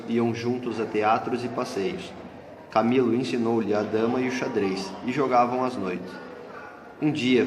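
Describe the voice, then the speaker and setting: Narrator, male, mid-pitched, reading literary radio drama text in a noisy, reverberant hall. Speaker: narrator of a school radio play. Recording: echoey hall with clear noise.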